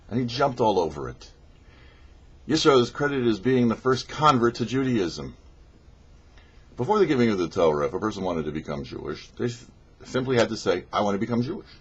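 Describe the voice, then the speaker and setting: Male rabbi reading a scripted torah lesson, lowish husky voice, explains things step by step. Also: highs cut off. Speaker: rabbi hosting a weekly Torah portion show. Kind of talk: scripted religious teaching.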